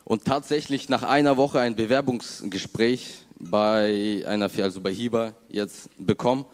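A young man giving an unscripted personal testimony in informal, halting words. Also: lowish voice. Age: young adult. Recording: long hall reverb.

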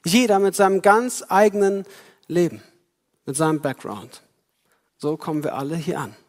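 A man's voice: a little high, moderate pace. A man preaching a Christmas sermon in a reflective way, speaking directly to his listeners. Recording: long hall reverb.

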